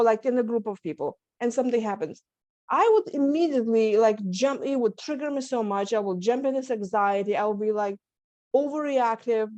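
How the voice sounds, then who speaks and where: Lithuanian-accented woman, higher voice. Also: a little mellow, no echo, dull audio. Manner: earnest, many restarts and small laughs. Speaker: guest, stress management coach. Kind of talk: candid interview talk. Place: home setup, video call. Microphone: video call.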